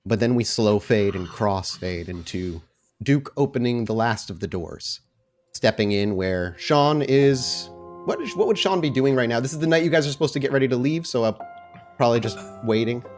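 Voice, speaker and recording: A man's voice: mid-range voice, animated, moderate pace, darkish tone, soft background music. A man narrating a tabletop roleplaying game as game master, with descriptive, cinematic scene-setting.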